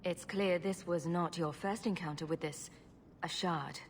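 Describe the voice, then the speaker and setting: A woman's voice: fairly low pitch, steady tone, a little slow, in a large hall, slight background noise, close to an everyday voice. A woman playing a wry, cool-headed adventurer in video game dialogue.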